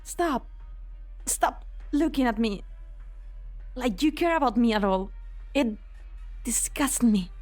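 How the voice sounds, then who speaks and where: A woman's voice: a little high, expressive, slow pace, long pauses, a little mellow, dry recording, faint music behind. A woman voicing a bitter, defiant young assistant in an amateur fan dub, cold and contemptuous toward her boss.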